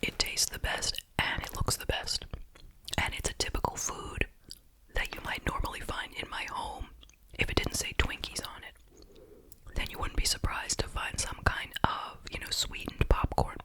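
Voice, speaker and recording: A woman speaking in a breathy, unvoiced whisper, chatting and musing through an ASMR food review. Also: moderate pace, low-quality recording. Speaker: host reviewing snacks.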